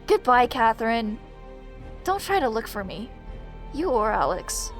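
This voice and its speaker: A woman's voice: on the high side, very animated, measured pace, over music. A woman playing an indignant, idealistic rebel in an amateur anime-style audio drama. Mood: bitter.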